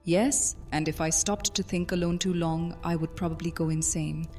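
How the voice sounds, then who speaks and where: A woman acts out an ancient vampire queen of thieves in an audio drama. Her voice is low and soft-edged, her phrasing formal and brooding. Faint music plays beneath her. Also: steady tone, normal speed, natural voice. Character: ancient regal vampire mistress and former master thief, brooding. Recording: music under the voice.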